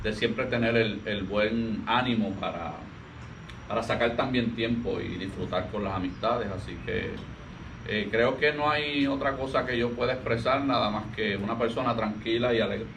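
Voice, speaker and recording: Puerto Rican male pastor, 40s, gentle hesitant delivery, distant mic, light background noise. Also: on the low side. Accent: Puerto Rican Spanish. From Puerto Rico. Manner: soft-spoken, halting, frequent restarts. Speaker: pastor, interview guest. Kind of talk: relaxed conversational interview.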